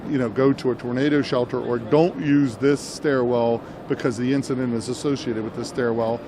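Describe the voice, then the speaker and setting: A man's voice: mid-range voice, fairly even intonation, normal speed. A man giving unscripted product demo commentary as an interviewer, explaining and rephrasing as he goes. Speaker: interviewer at a trade show. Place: indoor trade show booth. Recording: noisy trade show floor.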